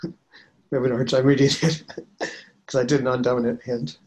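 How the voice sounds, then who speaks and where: A man shares in a support group and reads his notes aloud haltingly, with small laughs between phrases. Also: mid-range voice, level tone, darkish tone.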